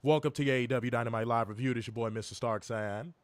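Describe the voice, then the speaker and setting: A young man hosts a live stream from home, his voice hoarse and worn from illness. He talks straight to the chat, cursing freely and joking through it. His pitch sits mid-range, and the mic sound is close and dry with no room around it. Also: quick pace, no echo. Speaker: co-host of a wrestling review stream. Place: home, in Chicago.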